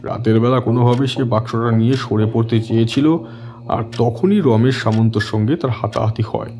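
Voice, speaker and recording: A man's voice: medium pitch, normal speed, dark tone, soft background music, lightly acted. An actor playing a matter-of-fact male police officer in an audio drama, methodical and explanatory. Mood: speculating.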